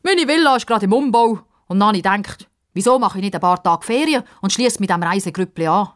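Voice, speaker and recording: A woman's voice: medium pitch, lively intonation, fairly quick, voice pushed up, lightly acted. A woman voicing a jovial, folksy old man in a children's story, given to eager exclamations. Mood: gleeful. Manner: exclaiming, muttering to himself.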